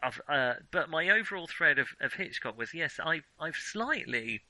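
A man's voice: fairly high pitch, very animated, normal speed, bright, forceful tone, no echo, low-quality recording. A man co-hosting a casual film discussion, opinionated but hesitant, often reworking his thought as he goes. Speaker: film podcast co-host.

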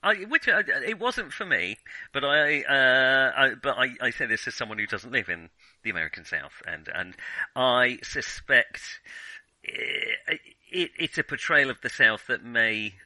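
Male film podcast host. Very forceful, bright tone, dry sound, hesitant conversational reviewing with frequent rephrasing. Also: medium pitch, lively intonation, no echo, rough recording. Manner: hesitant, halting, self-interrupting. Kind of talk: casual film review discussion.

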